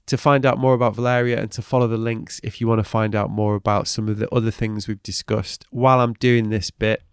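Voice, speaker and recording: A man hosting a podcast interview, talking in a thoughtful, halting way that often trails off. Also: lowish voice, level tone, clean tone, dry recording, studio quality. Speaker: podcast host. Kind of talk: reflective interview chat.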